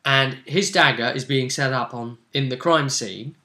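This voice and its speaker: Bright-voiced man recapping a game. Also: medium pitch, measured pace. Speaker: role-play session host. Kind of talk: casual recap of a role-play game.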